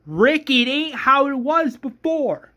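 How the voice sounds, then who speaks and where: A storyteller acting out an enraged, desperate man in a survival horror tale. The voice is pushed high into strained shouting, hammering each demand with heavy, repeated emphasis. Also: big pitch swings, moderate pace, slightly rough, full character voice. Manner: emphatic, repetitive ranting.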